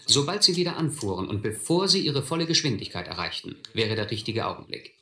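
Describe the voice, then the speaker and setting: An actor playing a reflective man recalling his runaway youth, narrating a radio play in measured, literary sentences. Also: mid-range voice, sing-song, sharp tone, roomy sound. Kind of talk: first-person scripted narration in German radio play.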